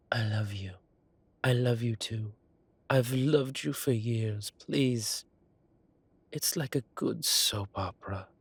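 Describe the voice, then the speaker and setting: A man playing a suave, gossip-loving gentleman boss in an intimate audio roleplay, arch and playfully dramatic, with breathy, drawling delivery. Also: mid-range voice, no echo. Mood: theatrical, gossipy. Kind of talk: scripted intimate roleplay acting.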